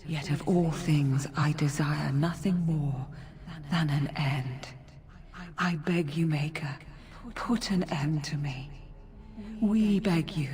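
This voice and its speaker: An actress voicing a weary, gentle female forest spirit in a fantasy game, solemn and pleading. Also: low voice, slow, pauses often. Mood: anguished pleading. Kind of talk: fantasy video game acting.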